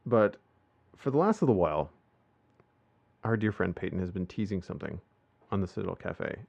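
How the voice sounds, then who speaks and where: Gravelly man chatting on a podcast. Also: low voice, animated, fairly quick, a little mellow, muffled recording. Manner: rambling, many fillers. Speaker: podcast host. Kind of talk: casual podcast chat.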